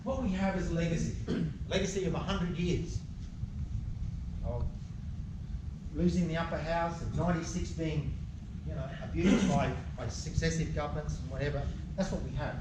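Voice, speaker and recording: A man's voice: fairly high pitch, normal speed, long pauses. An Australian man giving a blunt, emphatic speech at a public forum, firing rhetorical questions at the audience. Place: public meeting room. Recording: echoey, distant mic, background noise.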